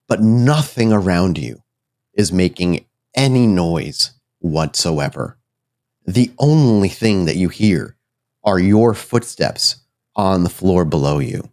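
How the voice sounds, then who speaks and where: A man working as game master, improvising suspenseful, deliberate narration for a horror tabletop roleplaying game. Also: low voice, darkish tone. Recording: music behind the voice. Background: background music.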